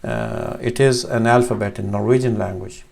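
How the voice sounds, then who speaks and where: Man in his thirties, Urdu native speaker from Pakistan, gravelly voice, hesitant teacher at a webcam. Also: fairly low pitch, steady tone, moderate pace, a little mellow. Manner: hesitant, many fillers and restarts. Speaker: Arabic teacher. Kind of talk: screen-share lesson. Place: small room at home, indoors.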